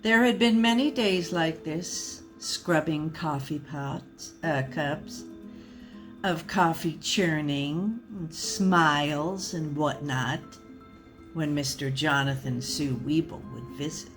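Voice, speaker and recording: A woman storyteller with a slightly low voice takes her time over a comic tale. Her delivery is deliberate and mock-serious, pausing for drama, with music playing faintly behind her.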